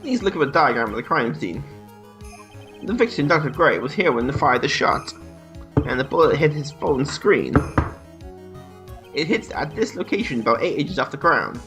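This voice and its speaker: A man's voice: on the high side, sing-song, moderate pace, long pauses, fairly bright tone, soft background music. Irreverent young man playing a game. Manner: irreverent, crude, laughing often. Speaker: let's play host. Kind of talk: joking game commentary and reading dialogue aloud.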